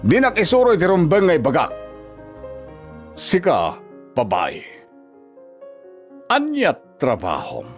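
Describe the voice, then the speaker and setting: A high, wildly swooping voice scolds with contempt: an overbearing older Filipino father-in-law in a radio drama. He badgers and belittles whoever he addresses, with music playing underneath. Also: wildly swooping pitch, slow, pauses often, exaggerated voice. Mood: indignant. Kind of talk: Ilocano radio drama acting.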